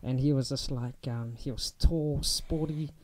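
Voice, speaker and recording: A young man with a New Zealand accent, chatting as a guest in a casual interview, relaxed and full of ums and restarts. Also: mid-range voice, unhurried, slight background noise.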